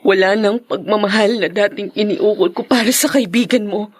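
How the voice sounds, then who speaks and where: An actress playing a poor young maid in a radio drama, heartbroken and bitter, with emotional delivery. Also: female voice, medium pitch, moderate pace, no room sound, lightly acted. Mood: bitter, resolute. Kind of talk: Tagalog radio drama acting.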